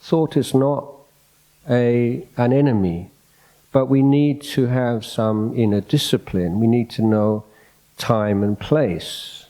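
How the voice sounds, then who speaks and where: A soft-spoken man, a Buddhist monk, giving an unscripted dhamma talk in a hall, reflective and hesitant, restarting his phrases often. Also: mid-range voice, slow pace. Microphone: microphone in a hall.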